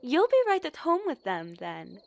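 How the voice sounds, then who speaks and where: A woman playing a young, plucky female operative in an audio drama, girlish, playful and quick to complain. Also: high-pitched, wildly swooping pitch, a little mellow, full character voice. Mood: indignant.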